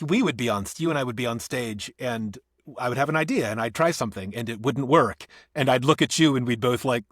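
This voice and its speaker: A man's voice: mid-range voice, no room sound. Reflective man in a dry booth. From Northeast Ohio. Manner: reflective, thinks aloud with restarts and soft laughs. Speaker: actor and audiobook narrator, guest. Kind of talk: conversational podcast interview.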